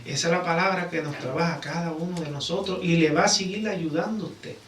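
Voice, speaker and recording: A man's voice: fairly high pitch, brisk, a crowd in the background. A man with a Puerto Rican accent preaching conversationally in a church, often checking for agreement with rhetorical questions. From Puerto Rico. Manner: repeatedly seeks agreement with rhetorical tag questions. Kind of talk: conversational sermon. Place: church sanctuary. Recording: roomy, distant mic, background noise.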